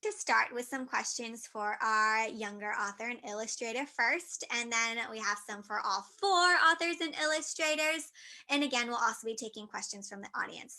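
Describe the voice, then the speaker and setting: A woman moderating an online book panel, upbeat and encouraging as she asks a young author questions. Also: on the high side. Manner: upbeat, encouraging, talks gently to a child.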